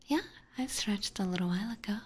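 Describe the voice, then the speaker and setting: A woman livestreaming ASMR, chatting gently to her viewers in a soft, breathy whisper close to a binaural microphone. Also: mid-range voice, fairly quick. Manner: gentle, chatty, giggly, addressing chat.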